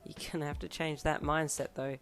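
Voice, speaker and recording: Woman reading a young hero dad, affectionate, teasing, low hoarse voice, faint piano music behind. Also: little pitch movement, brisk. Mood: friendly, teasing. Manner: tender with the baby, teasing and upbeat with his friend. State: hoarse, losing her voice. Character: young adult male hero, doting new father.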